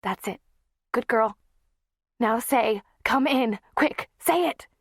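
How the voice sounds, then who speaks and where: In this audiobook, a desperate young woman speaks with urgent intensity, her voice raised higher than the narration, as a woman narrator puts her on. She sounds protective and driven. The sound is dry and close. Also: normal speed, dry recording, close to an everyday voice. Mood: coaxing.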